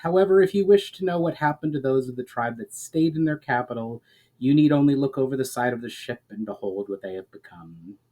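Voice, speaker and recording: A man voicing a grave, ancient elf in a fantasy storybook reading, speaking in a measured, formal, explanatory way. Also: mid-range voice, dark tone, natural voice, poor audio. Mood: solemn.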